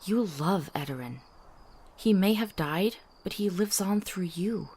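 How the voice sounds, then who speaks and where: A woman playing a kind, tactful traveling companion in a visual novel, gentle and earnest. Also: medium pitch, lively intonation, a little slow, a little hiss or hum, lightly acted. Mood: earnest, consoling.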